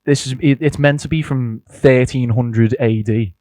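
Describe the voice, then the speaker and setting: A man's voice: medium pitch, fairly even intonation, unhurried, dark tone, dry recording, clean studio sound. A man co-hosting a casual movie podcast, rambling with fillers and laughing a lot. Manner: rambling, giggly, lots of fillers. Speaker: podcast co-host.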